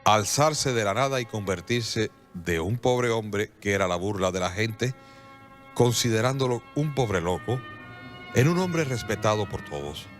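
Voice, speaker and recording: A man giving solemn, scripted radio drama narration with measured, dramatic pauses. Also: lowish voice, lively intonation, faint music behind.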